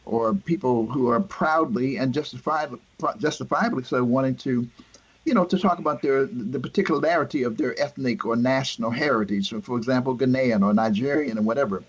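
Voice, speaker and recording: A middle-aged man with a husky voice hosts an interview over muffled, lo-fi audio. He hesitates often and restarts his sentences as he works toward a question. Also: medium pitch. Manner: halting, searching for words, many restarts. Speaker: host.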